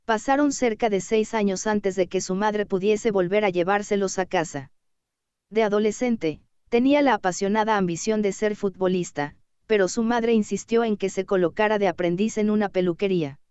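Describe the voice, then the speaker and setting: A woman narrating an audiobook in a steady, even reading voice. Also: mid-range voice, moderate pace, clear, resonant tone, no echo, dull audio.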